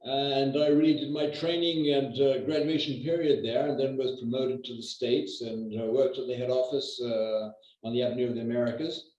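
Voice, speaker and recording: A man's voice: medium pitch, steady tone, darkish tone, lo-fi sound. Older man on an echoey distant call. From New Zealand. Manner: measured, with many hesitations. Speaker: real estate agent guest. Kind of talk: conversational interview.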